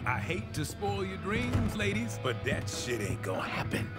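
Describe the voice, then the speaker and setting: A man's voice: high voice, huge pitch swings, moderate pace. A man with an American accent playing a sly, streetwise middle-aged job broker in a video game, talking sardonically. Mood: sly, amused. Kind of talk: video game cutscene acting. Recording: loud music behind the voice.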